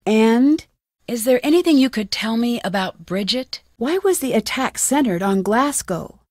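A woman's voice: fairly high pitch, expressive, measured pace, a little mellow, no room sound, clean studio sound. An actress voicing a determined, inquisitive young woman detective with an American accent in a video game, earnest and questioning. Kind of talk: video game voice acting, isolated dialogue lines.

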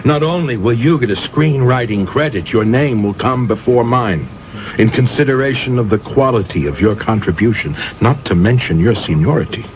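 A man acts out a theatrical young film director in a radio play. He is proud and quick to take offence, with lofty, indignant phrasing in a mid-range voice. The recording carries faint noise. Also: mid-range voice, natural voice. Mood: haughty, emphatic. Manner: grandiloquent, indignant.

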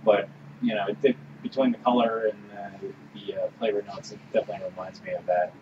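A man with a lowish voice gives blunt, dry takes on a beer. He is picked up from a distance in a dull recording. A steady, clearly audible hiss runs underneath. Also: fast. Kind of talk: casual beer-tasting chat. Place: small room with orange walls.

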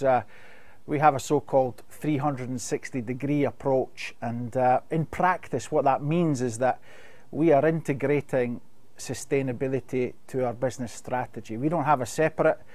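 Brief: middle-aged Scottish man, webinar guest, halting, filler-heavy delivery, dead dry sound. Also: mid-range voice, a little mellow, dry recording. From Scotland. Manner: measured, many fillers and restarts. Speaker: company sustainability expert. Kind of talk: webinar Q&A answers.

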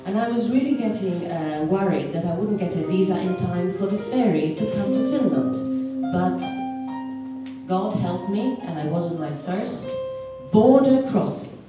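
A middle-aged woman with a Polish accent tells a personal story to an audience, pausing often with fillers. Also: on the low side, animated, over loud music. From Poland. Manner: hesitant, many filler pauses. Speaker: storyteller at a community event. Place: large wooden hall with stage. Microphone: handheld mic, heard from the hall. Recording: echoey hall from far off.

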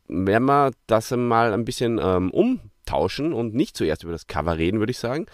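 Lively low-voiced male podcast host. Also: normal speed, dry recording, studio quality. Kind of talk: casual review chat.

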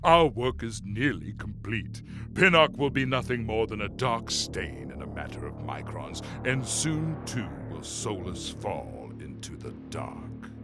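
A man's voice: lowish voice, very animated, a little slow, vocal fry, hardly any pauses, loud music behind the voice, full character voice. A man playing a gloating villain lord commander. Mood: triumphant. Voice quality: haughty, relishing menace. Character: scheming alien male lord commander, villain. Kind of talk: fan motion comic voice acting.

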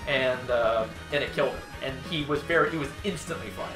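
Young man, fast, rambling co-host with casual swearing, roomy sound with game music underneath. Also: medium pitch. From Wisconsin, USA. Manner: rambling storyteller, swears freely, restarts often. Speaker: co-host of a gaming show. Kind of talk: casual commentary chat over gameplay.

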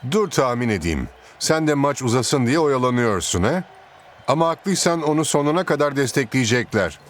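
An actor playing a loyal, laid-back football teammate in a Turkish cartoon dub. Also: male voice, medium pitch, sing-song, moderate pace, lightly acted. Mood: friendly concern.

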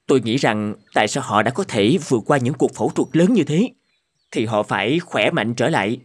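A man voicing troubled, thoughtful doctors and professors in a cartoon drama, formal and measured. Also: mid-range voice, lively intonation, slightly rough. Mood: earnest, concerned. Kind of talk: animated cartoon drama dubbing.

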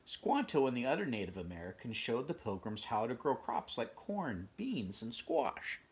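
A man in his fifties with a gravelly voice reads a picture book aloud, adding chatty, conversational asides for young listeners. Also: medium pitch, very animated, normal speed. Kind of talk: children's read-aloud with casual asides. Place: small furnished bedroom, indoors.

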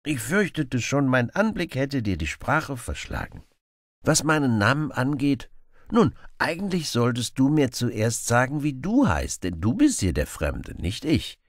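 A man's voice: mid-range voice, sing-song, a little slow, no room sound, studio quality, pitched above the performer's own voice. A fearless, cheeky young outlaw from an adventure audiobook played by a man. His raised voice bounces with playful, friendly teasing and mock innocence.

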